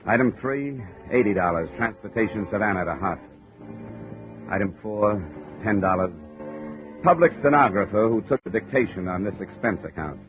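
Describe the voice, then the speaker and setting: A man's voice: on the low side, expressive, normal speed, natural voice. An American actor playing a tough, world-weary male insurance investigator narrating his case tersely and wryly in 1950s radio drama. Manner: terse, wry, hardboiled. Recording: muffled 1950 radio recording, music behind.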